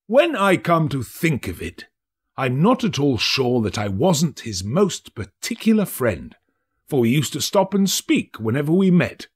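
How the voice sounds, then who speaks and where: A big, fat man of business, played by a male reader talks with callous, bored good humour. The storybook voice is pushed high and swoops about, with a husky edge. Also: very animated, unhurried, full character voice. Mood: callous, jocular.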